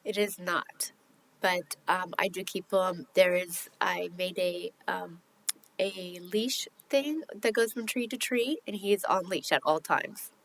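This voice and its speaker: Woman, low voice, gravelly, hesitant answers, lots of ums. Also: slow pace, slight background noise, poor audio. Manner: hesitant, many ums, defensive. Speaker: respondent at a hearing. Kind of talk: answering questions at a hearing.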